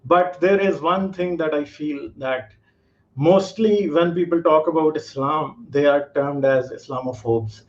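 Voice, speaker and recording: A man from Pakistan, calling in and explaining his views earnestly, with many fillers. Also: a little high. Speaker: caller. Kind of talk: call-in discussion. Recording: muffled lo-fi call audio.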